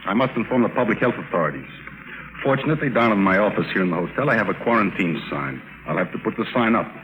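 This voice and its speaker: An actor playing a stern, officious hotel doctor with a pompous, emphatic delivery in a 1950s radio drama. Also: male voice, fairly low pitch, animated, fast talker, close to an everyday voice. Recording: noisy 1950s radio recording.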